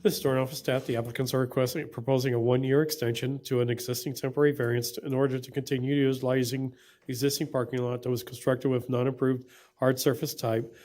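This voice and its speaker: A city staff member reading a zoning report in a flat, matter-of-fact way. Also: male voice, medium pitch, quick pace.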